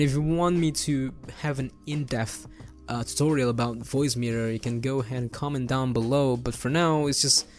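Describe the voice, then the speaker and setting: A young man walks through software in a casual, upbeat tutorial manner. He speaks close to a desk microphone at home, with faint music playing behind him. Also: medium pitch. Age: young adult. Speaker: tutorial host. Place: home bedroom setup indoors. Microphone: close desk microphone on boom arm.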